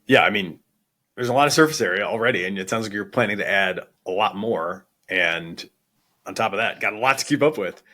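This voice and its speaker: A man's voice: lowish voice, expressive, fairly quick, pushed and bright. A man interviewing as a podcast host, informal and thinking aloud as he forms his questions. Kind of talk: conversational interview.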